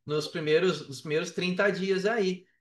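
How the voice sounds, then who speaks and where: A man with a notably high voice and a Brazilian Portuguese accent speaks as a podcast guest. He tells stories casually in slangy, repetitive bursts and acts out what people said. The sound is roomy and a little far from the mic. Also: moderate pace. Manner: slangy, swearing, emphatic repetition, acts out reported dialogue. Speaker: podcast guest, affiliate marketer. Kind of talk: casual, animated personal storytelling on a podcast. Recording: roomy echo, distant from the mic.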